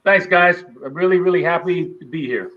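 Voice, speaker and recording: A middle-aged man, a guest telling stories in an animated way. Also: sits high, moderate pace, lo-fi sound. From Bahamas. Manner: animated storyteller acting out quoted voices. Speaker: guest, former basketball player. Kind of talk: storytelling interview.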